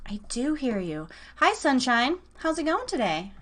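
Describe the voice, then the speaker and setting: A woman's voice: sits high, big pitch swings, measured pace, a bit bright. A woman hosting a live online radio show, chatting casually and playfully with listeners and a guest. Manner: chatty, playful, informal teasing.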